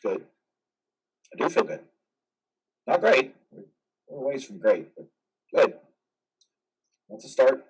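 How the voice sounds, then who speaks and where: A man's voice: medium pitch, big pitch swings, slow pace, pauses often, husky, recorded from a distance, full character voice, poor audio. A man playing a troubled, defiant war veteran in psychiatric care, earnest and naturalistic in a dramatic play reading. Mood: calmer, hopeful.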